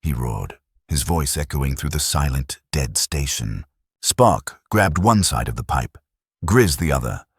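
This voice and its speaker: A man narrating a science fiction audiobook in a grave, dramatic storytelling manner, with a husky voice. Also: extremely low pitch, expressive, a little slow, no room sound, studio quality.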